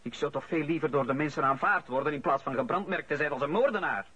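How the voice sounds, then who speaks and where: An actor with a Flemish accent playing an aggrieved, bitter adult son who feels wrongly accused in a radio play. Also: male voice, a little high, fast talker, bright, forceful tone, husky. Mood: anguished, indignant. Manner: agitated, bitter, emphatic. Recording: muffled radio drama recording.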